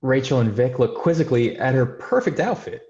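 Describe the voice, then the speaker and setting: A man's voice: medium pitch, big pitch swings, fairly quick, darkish tone. A man narrating a screenplay's stage directions in a scripted table-read style. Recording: roomy, dull remote call audio.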